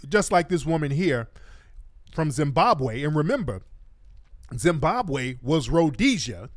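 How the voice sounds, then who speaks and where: A man's voice: a little high, big pitch swings, slow pace, no room sound, clean studio sound. A man hosting a talk show, giving opinionated live commentary in an emphatic, colloquial way and repeating points for stress.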